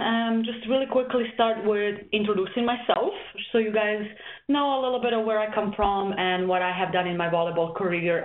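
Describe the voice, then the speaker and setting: A woman's voice: mid-range voice, a little hiss or hum. A young woman from Serbia, a volleyball coach, giving a webinar presentation with many ums and restarts. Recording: tinny webinar audio, echoey room.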